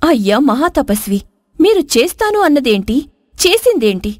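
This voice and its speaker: A woman acts out a young princess of a storybook kingdom, scorned yet wise. Her voice is high and earnest, with solemn, formal phrasing. The recording is very dry, with no room sound. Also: sing-song, moderate pace, a little mellow, no room sound. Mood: indignant. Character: spurned dark-skinned young princess, earnest, wise, wronged. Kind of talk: dramatised moral folk tale.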